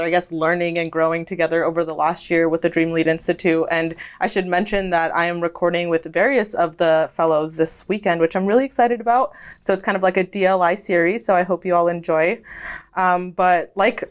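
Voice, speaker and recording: A woman's voice: fairly low pitch, fairly even intonation, a little fast. Chatty woman podcast host. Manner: casual, chatty, swears often, laughs easily. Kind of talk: casual podcast conversation.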